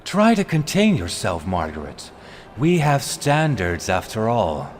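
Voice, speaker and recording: Man playing deep-voiced, haughty refined vampire. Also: very animated, a little slow, slight background noise. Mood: hungry, haughty. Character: refined, haughty male vampire henchman, hungry for humans. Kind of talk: acted fantasy audio drama.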